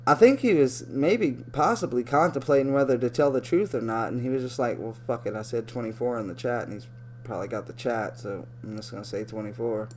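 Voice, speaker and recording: A man talks over a clip in loose, profanity-laced reaction commentary, jumping between excitement and sarcasm. His voice sits at an ordinary male pitch. A faint hum runs beneath it. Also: fairly quick. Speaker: video commentator.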